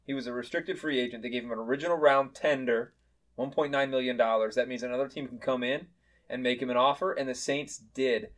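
A man's voice: medium pitch, steady tone, fast. Blunt, joking man co-hosting a podcast. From Chicago area. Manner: blunt, opinionated, swears and jokes. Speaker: podcast co-host. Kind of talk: casual sports chat.

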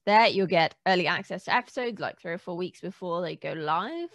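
A woman's voice: lowish voice, animated, brisk, fairly bright tone, no echo. A woman co-hosting a podcast in casual, conversational chat. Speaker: podcast co-host.

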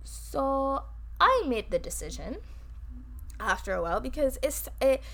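Fast-talking Barbadian woman, fairly high. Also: very animated, fairly bright tone. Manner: fast-talking, emphatic storytelling. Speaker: podcast co-host. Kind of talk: casual chat.

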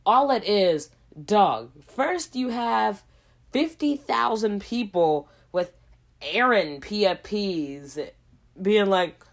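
A woman hosting a casual solo podcast, hoarse from a cold, rambling in a slangy way with lots of asides. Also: mid-range voice, lively intonation, takes it slowly. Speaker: podcast host.

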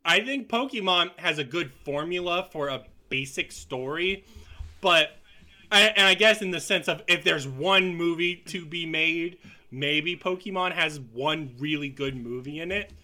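A man's voice: a little high, measured pace, pushed and bright. A man co-hosting a casual film podcast, blunt and opinionated, swearing casually as he talks. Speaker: podcast co-host.

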